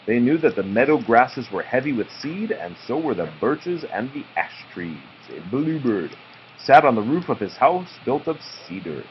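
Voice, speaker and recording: A man reading a children's storybook aloud like a father, with expressive, deliberate phrasing. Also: medium pitch. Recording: muffled, faintly noisy home recording.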